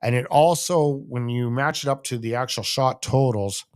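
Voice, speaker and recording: Male sports host, Canadian accent, medium pitch. Casual solo commentary with emphatic, repeated phrasing. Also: normal speed, clear, resonant tone.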